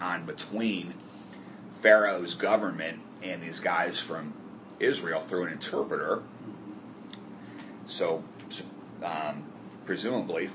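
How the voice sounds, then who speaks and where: A man's voice: sits low, noisy background. A man leading a group Bible study discussion, asking the group questions and correcting himself as he goes.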